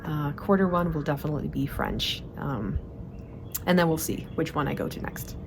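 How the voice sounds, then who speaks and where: Low gravelly woman vlogging. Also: lively intonation, normal speed, noisy background. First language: Swedish. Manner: chatty, frequent fillers and restarts. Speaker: productivity vlogger. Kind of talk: casual monthly report to camera.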